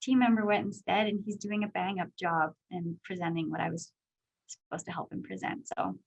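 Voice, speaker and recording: Chatty woman with a high voice. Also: steady tone, fairly quick, vocal fry, breathy edge, poor audio. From Canada. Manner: candid, chatty, many "like" and "um" fillers. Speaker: guest speaker, company executive. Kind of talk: casual interview answers.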